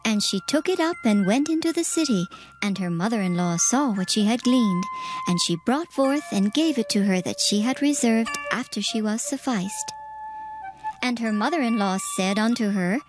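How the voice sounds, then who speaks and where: A female narrator reads dramatized scripture in archaic English. Her voice sits a little high, and music is clearly heard underneath. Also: expressive, moderate pace.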